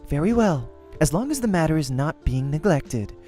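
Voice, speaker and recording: Guy doing a young gamer woman, stiff n formal, soft mellow tone, swingy pitch, music behind. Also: big pitch swings, moderate pace. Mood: cool, formal. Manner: formal, precise, matter-of-fact.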